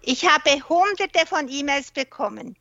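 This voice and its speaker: A woman from Switzerland speaks German with a Swiss accent, pausing often as she looks for words, since she mostly works in French and English. Her voice sits a little high. The recording is dry and dull, like a remote lo-fi line. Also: lively intonation, pushed and bright, dry recording. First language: not German; works mainly in French and English. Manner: thoughtful, searches for words, frequent hesitations. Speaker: guest researcher and author. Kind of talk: interview conversation.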